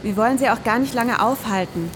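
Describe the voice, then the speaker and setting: A woman's voice: on the high side, brisk, background noise. A woman in her forties co-hosting a scripted, performative podcast with an explanatory delivery. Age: 40s. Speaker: podcast co-host. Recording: long reverb, music underneath.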